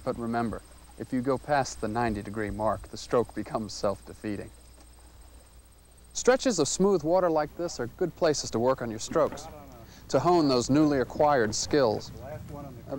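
A man in his thirties narrating a canoeing lesson, explaining strokes in a clear, measured way. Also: mid-range voice, a little hiss or hum. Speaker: canoeing instructor. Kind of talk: instructional narration.

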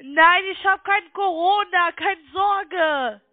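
A woman's voice: extremely high pitch, slow pace, dry recording. A woman speaking non-native German with a foreign accent, stuffed up with a cold, working as a telephone sales caller. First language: not German. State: congested with a cold. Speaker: call center trading sales caller. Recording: muffled band-limited phone line.

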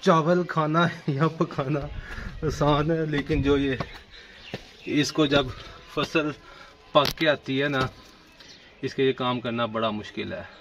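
A man's voice: fairly high pitch, steady tone, takes it slowly, long pauses. Man, 30s, casual vlog chat, outdoor rooftop, phone at arm's length, noisy audio. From Pakistan. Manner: chatty, explains to viewers as he works, teasing. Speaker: village vlogger. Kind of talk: casual vlog narration to camera. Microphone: phone camera at arm's length.

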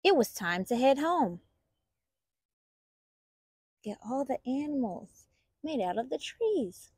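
A woman reading a children's picture book aloud as a storytime host, in an expressive, deliberate storyteller's manner. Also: high-pitched, breathy edge, no room sound, clean studio sound.

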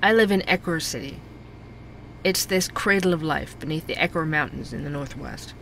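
A man's voice: on the high side, moderate pace, vocal fry, pushed and bright, a little hiss or hum, poor audio. An actor playing a curious, earnest young man narrating his audio drama podcast. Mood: earnest, wry. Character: curious, earnest young male podcaster and coffee shop worker.

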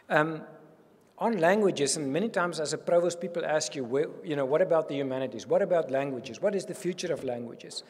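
An older man with a South African accent, Afrikaans his first language, delivers an earnest, animated welcome at a podium. His voice sits a little high and husky. A long reverb from the reception hall trails each phrase. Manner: earnest and animated, gesturing widely. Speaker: university provost. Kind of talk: welcome speech. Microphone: podium microphone.

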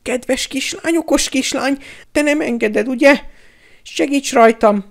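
Cowardly male traitor, high whiny voice, pleading, grovelling, audiobook villain. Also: gravelly. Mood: wheedling. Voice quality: whining, whimpering. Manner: wheedling, grovelling. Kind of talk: audiobook character acting.

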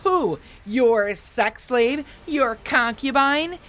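A woman's voice: high voice, animated, extremely slow, soft background music, voice pushed up, heavily acted. A woman voicing an outraged young heroine. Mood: angry. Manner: shouting back, stammering.

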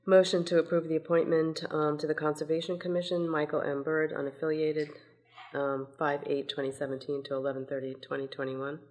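A woman's voice: low voice, flat delivery, a little fast, a little mellow, low-quality recording. A woman council member steadily reading motions aloud at a meeting, with an occasional "um". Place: council chamber, indoors.

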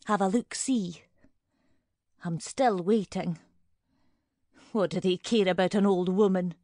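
A woman's voice: medium pitch, darkish tone, no echo, clean studio sound, natural voice. An actress playing a nosy old pensioner woman in an audio drama monologue, prim, indignant and grumbling. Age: older.

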